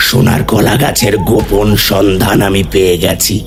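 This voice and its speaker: A man voicing a sinister, scheming fairy-tale villain who gloats in a drawn-out, menacing cartoon delivery. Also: low-pitched, little pitch movement, creaky, faint music behind. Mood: gloating menace.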